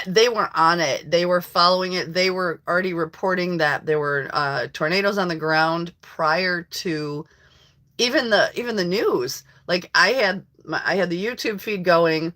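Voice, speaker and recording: A hoarse woman hosting a casual live stream from her country home, chatting to viewers in a rambling way and laughing often. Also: low voice, pushed and bright. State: hoarse from allergies. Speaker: livestream host. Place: rural home.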